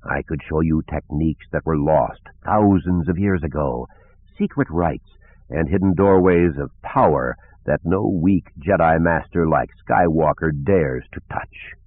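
A man voicing a proud, shadowy dark lord spirit who tempts and menaces in a slow, booming, deliberate way, in an audiobook. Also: no echo. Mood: seductive, menacing. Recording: narrow phone-like band.

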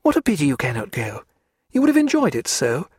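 A male audiobook narrator voicing a lively, teasing young English lady with a gushing manner. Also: brisk, husky, no echo, clean studio sound, heavily acted. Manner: gushing, teasing, high-spirited.

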